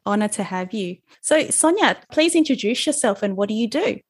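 A woman's voice: mid-range voice, lively intonation, moderate pace, slightly rough. A woman hosting a podcast, introducing the show and interviewing conversationally. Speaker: podcast host.

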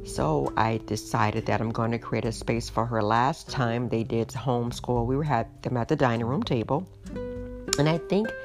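A middle-aged woman hosting a podcast, hoarse tonight, chatting in an unhurried way with frequent ums. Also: a bass voice. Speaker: podcast host. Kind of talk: casual solo podcast talk. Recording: music playing underneath.

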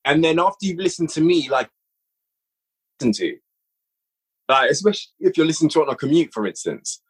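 A man guesting on a podcast, talking about his audio drama work in a relaxed, rambling way with lots of fillers. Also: on the high side, fairly quick, husky, low-quality recording. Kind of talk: casual podcast chat.

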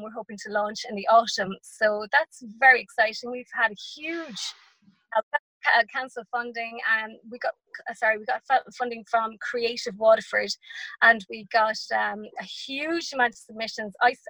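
Irish woman pausing to find her words. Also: fairly high pitch, pushed and bright, no room sound, poor audio. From Ireland. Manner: thoughtful, with many fillers and restarts. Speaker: poet and teacher, podcast guest. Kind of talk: casual interview chat.

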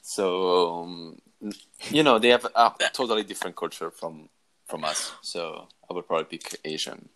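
A young man, a guest in a casual question-and-answer chat, speaking haltingly with many pauses and laughs. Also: low voice, fairly bright tone, low-quality recording. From Italy. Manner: hesitant, halting, laughs often.

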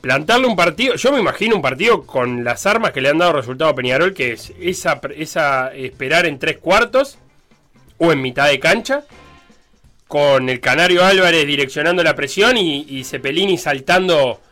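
A bright, forceful male voice with a lilt, musing aloud about football and circling back on his words with fillers. Faint music hums behind him. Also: on the high side, lively intonation, moderate pace. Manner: rambling, many fillers and restarts. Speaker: sports radio panelist. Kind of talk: casual football talk.

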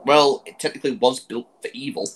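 A man's voice: medium pitch, a little fast, slight background noise. A man co-hosting a podcast, chatting casually and teasing his co-hosts. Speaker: podcast co-host. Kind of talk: casual chat.

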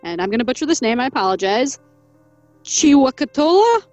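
A woman co-hosting a casual podcast, reading a folk legend from an article. Her voice sits a little high and she laughs often, stumbling over names. The recording is dry and close, with faint music underneath. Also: big pitch swings, moderate pace, a little mellow, no echo. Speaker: podcast co-host.

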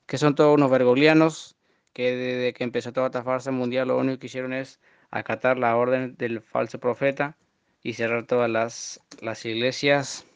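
A man in his early twenties with a northern Argentine accent, speaking off the cuff in an earnest, indignant, halting monologue. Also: level tone, smooth, no room sound. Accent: northern Argentine Spanish. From Formosa, Argentina. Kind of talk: unscripted monologue to camera. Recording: muffled, dull low-fidelity audio.

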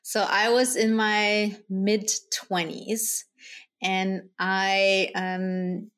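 A woman's voice: mid-range voice, level tone, drawn-out words, fairly bright tone. A middle-aged woman from Germany telling a personal story in a conversational way as an interview guest. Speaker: guest, spirit guide channeler.

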